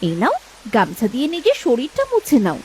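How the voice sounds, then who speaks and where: Woman playing a very animated young village wife. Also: high voice, brisk, a little hiss or hum. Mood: concerned. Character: practical young village wife, caring daughter. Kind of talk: cartoon dialogue.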